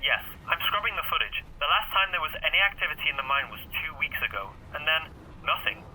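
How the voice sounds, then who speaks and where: A man's voice: on the high side, animated, fast, sharp tone, slight background noise, low-quality recording. An actor in an audio drama playing a dutiful warrior scout who reports to his sister. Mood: puzzled. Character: dutiful younger brother, armored warrior scout on comms.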